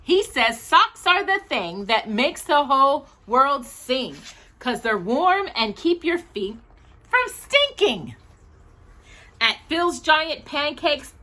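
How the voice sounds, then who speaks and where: A woman hosting a children's storytime, reading aloud in an animated, sing-song manner. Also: high voice.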